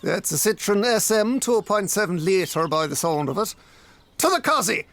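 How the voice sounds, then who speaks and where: Man playing a pompous, creaky-voiced detective. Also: high voice, sing-song, moderate pace, heavy background noise. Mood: pedantic. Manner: pompous, pedantic, drops in mangled French. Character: pedantic rule-abiding male detective inspector, unflappable and self-satisfied. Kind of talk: comic audio drama acting.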